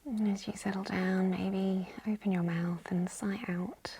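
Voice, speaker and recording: A woman leading a guided yoga nidra meditation in a soft, whispery, breathy voice, calm and gentle. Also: mid-range voice, a little slow. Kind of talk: guided meditation, slow hushed instruction.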